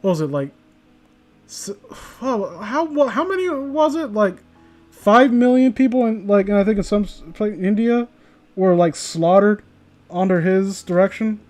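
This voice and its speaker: A man's voice: sits high, animated, unhurried, a little mellow, soft background music. A tipsy man livestreaming, rambling casually and profanely as he chats and reads stories. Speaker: livestreamer reading online horror stories. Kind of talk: casual rambling livestream chat and story reading.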